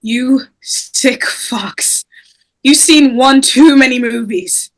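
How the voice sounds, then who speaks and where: Woman playing a high-voiced defiant teenage girl. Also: slow, exaggerated voice, rough recording. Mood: shocked, angry. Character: terrified, defiant teenage girl facing killers. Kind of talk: dramatic script table read.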